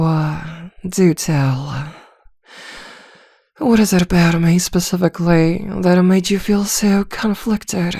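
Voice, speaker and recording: A woman playing an arrogant, seductive vampire villainess in an intimate ASMR roleplay, soft-voiced and teasing, with a commanding, hypnotic manner and frequent drops toward a whisper. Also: sits low, no room sound, studio quality, full character voice. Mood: amused, gloating. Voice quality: soft, often dropping into whispers.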